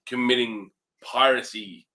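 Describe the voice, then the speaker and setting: A man's voice: mid-range voice, fairly even intonation, a little slow, pushed and bright. A man co-hosting a movie review show in casual, profane banter, sounding incredulous and sarcastic. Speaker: podcast co-host reviewing movies.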